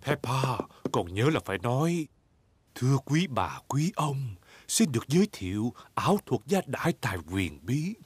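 A man's voice: mid-range voice, big pitch swings, moderate pace, breathy edge, no room sound. A man voicing a jolly, reassuring father pig in a dubbed preschool cartoon, hearty and playfully grand, with music behind him. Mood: playfully proud.

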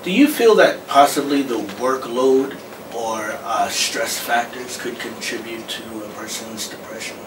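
A man's voice: mid-range voice, unhurried. A man interviewing as a student, asking polite, careful questions. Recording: distant, roomy mic with background noise.

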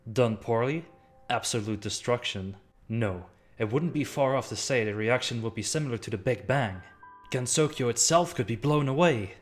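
A man performs a grave otherworldly leader in a fan-made comic dub. The voice is fairly low and deliberate, weighty and knowing, over faint music. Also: close to an everyday voice. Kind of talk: amateur comic dub acting.

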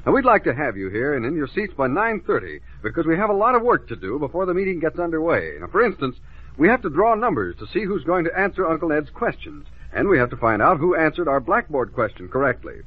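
A man's voice: on the high side, sing-song, fast, husky. An American man announcing on a 1950s children's radio show with inviting patter. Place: broadcast studio. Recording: muffled, band-limited 1950s radio recording.

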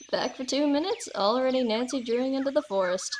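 Woman voicing a chill teasing teen girl, highish lively voice, cartoon dub, little background noise. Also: moderate pace, natural voice. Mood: amused, teasing. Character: laid-back, teasing teenage girl friend. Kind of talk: cartoon fan comic dub.

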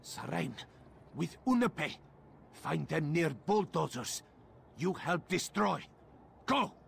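A gravelly alien villager guide. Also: male voice, a little high, sing-song, slow, slight background noise, exaggerated voice. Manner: terse broken commands.

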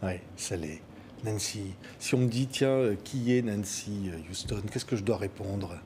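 A man in his fifties hosting a TV studio interview, prompting his guest with lively questions and brief affirmations at a desk microphone. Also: on the low side, normal speed, a little hiss or hum. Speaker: TV host. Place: TV news studio.

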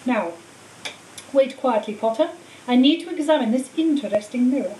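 Cold sneaky wizard teacher guy, a lady reading him, calm and menacing, mellow highish voice, echoey room w/ a little hiss. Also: moderate pace. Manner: calm, menacing, coolly matter-of-fact. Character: cold treacherous male wizard teacher, servant of a dark lord. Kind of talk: audiobook character voice. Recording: roomy echo, distant mic, faint hiss.

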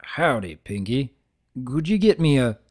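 A man's voice: medium pitch, big pitch swings, a little slow. A man voicing a kind, laid-back male farm pony with folksy, plain speech in a storybook reading. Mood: polite.

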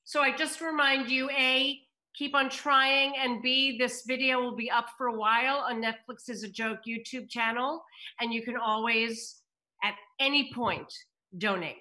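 A woman's voice: a little high, fairly even intonation, unhurried, pushed and bright. A middle-aged woman hosting a table read over video call, reading stage directions and addressing viewers. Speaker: table read narrator and host.

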